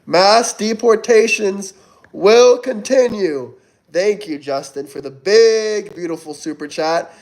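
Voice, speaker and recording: Brief: twenties male streamer, somewhat high voice, chatty, keeps urging viewers to like and tip. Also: takes it slowly, a little mellow. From Florida, US. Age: 20s. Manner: reads out and answers viewer chat, urging likes and tips. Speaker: livestream host. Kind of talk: casual livestream chat.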